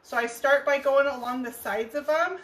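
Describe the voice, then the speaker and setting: A woman in her thirties with a hoarse voice, hosting a live furniture painting tutorial and chatting as she works. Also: sits high, moderate pace. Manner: chatty, laughs easily. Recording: roomy, distant mic, faint noise.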